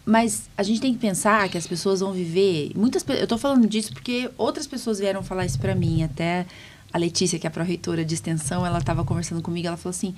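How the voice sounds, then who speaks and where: A woman's voice: fairly low pitch, fast, slight background noise. A middle-aged Brazilian woman hosting a podcast, talking in a casual, anecdotal way and often checking for agreement. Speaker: podcast host, physician. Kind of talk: casual conversational podcast chat.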